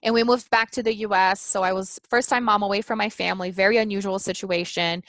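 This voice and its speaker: A woman's voice: medium pitch, steady tone, fast talker, a bit bright, no echo. Woman, 30s, native Portuguese speaker, earnest interview guest, thinks aloud with restarts, dry close recording. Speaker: life coach guest. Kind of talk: casual interview chat.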